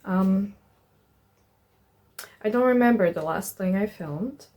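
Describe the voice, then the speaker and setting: A woman's voice: mid-range voice, takes it slowly, a little mellow. A young woman narrating a casual vlog in an informal, sweary way. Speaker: vlogger, artist.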